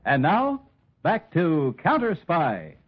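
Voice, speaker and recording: A man's voice: on the high side, wildly swooping pitch, slow. A man announcing a commercial in jolly, upbeat 1950s radio advertising style. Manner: jolly, upbeat sales delivery. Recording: muffled old radio recording. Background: loud guitar music.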